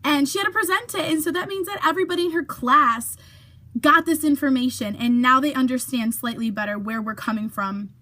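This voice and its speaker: A woman's voice: high voice, brisk, sharp tone. Chatty young woman vlogging to camera. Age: young adult. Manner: chatty, many fillers, drops to whispers for asides. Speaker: YouTuber. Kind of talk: casual vlog chat.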